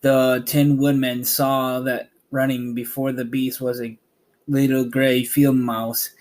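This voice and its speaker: A man narrating a storybook aloud as host, reading in a halting way with stumbles. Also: medium pitch, level tone, a little mellow.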